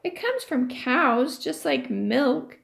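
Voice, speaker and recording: A woman's voice: sits high, big pitch swings, slow, gravelly, pitched above the performer's own voice, heavily acted. A woman voicing a sly, devious young boy who argues his schemes in a children's storybook. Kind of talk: children's storybook read aloud.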